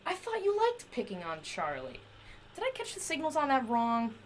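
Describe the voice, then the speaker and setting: A high, lively female voice with faint noise behind it. An actress plays an eccentric inventor in an audio drama, eager and self-justifying. She rambles and coaxes as if to a companion who will not answer. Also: normal speed. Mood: nervous persuasion. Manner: rambling, wheedling, talks to a silent companion.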